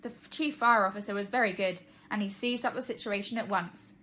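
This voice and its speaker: A woman's voice: medium pitch, a little fast, sharp tone, slight background noise. A woman reading a children's storybook aloud as a narrator, like a teacher to pupils.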